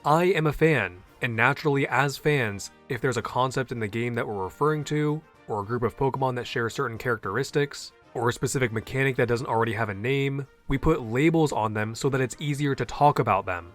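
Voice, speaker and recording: A man's voice: mid-range voice, fairly quick, few pauses, a bit bright, soft background music. A man hosting a scripted explainer video, earnest and opinionated, with a native English voice. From half Japanese. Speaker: YouTube host.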